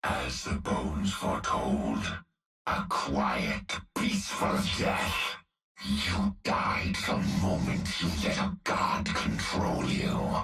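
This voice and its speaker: A hulking cyborg executioner with a cavernous, gravel-rough voice, drawing out each menacing threat at a slow crawl. The lines sound distant in a roomy echo, with faint music underneath. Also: male voice, very deep voice, bright, forceful tone, full character voice. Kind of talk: game voice lines.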